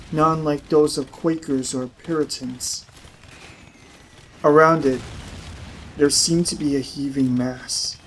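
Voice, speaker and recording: Male audiobook narrator, medium pitch, dramatic storytelling delivery, light ambience behind. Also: slight background noise, poor audio.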